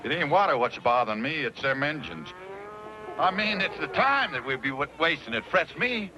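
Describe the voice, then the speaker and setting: An actor playing a folksy, plain-spoken frontier trapper in an American Western, with a rustic backwoods drawl. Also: male voice, fairly high pitch, very animated, normal speed. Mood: fretful. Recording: dull old recording with clear background noise.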